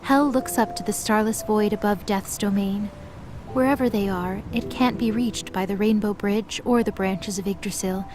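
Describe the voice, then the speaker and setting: A woman's voice: mid-range voice, fairly even intonation, moderate pace. Woman narrating a story over noise. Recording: clearly noisy background.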